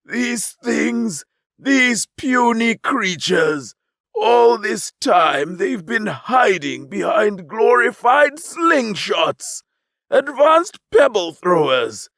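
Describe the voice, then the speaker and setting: A man voicing a pompous, arrogant male alien with a grandiose, drawn-out, mocking manner. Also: high voice, sing-song, gravelly, a bit bright, no echo, voice pushed up, heavily acted.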